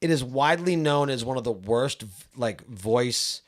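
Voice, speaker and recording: A man from Maine co-hosts a relaxed podcast chat, his faint Maine accent showing now and then. He talks in an easygoing, rambling way, often losing his thread and laughing it off. His voice sits at an ordinary pitch for a man. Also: normal speed. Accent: slight Maine accent. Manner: easygoing, laughs, trails off mid-sentence. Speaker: podcast co-host. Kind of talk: casual interview chat.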